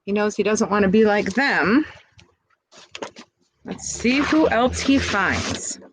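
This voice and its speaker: A woman reading a picture book aloud expressively, pausing to chat to young listeners with questions and asides. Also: medium pitch, animated, noisy background. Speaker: storybook reader.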